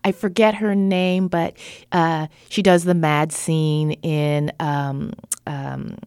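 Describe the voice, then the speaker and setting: Curious woman interviewer, low voice. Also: level tone, takes it slowly, darkish tone, smooth, dry recording, studio quality. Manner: curious, gives frequent short acknowledgements. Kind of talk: interview questions, casual.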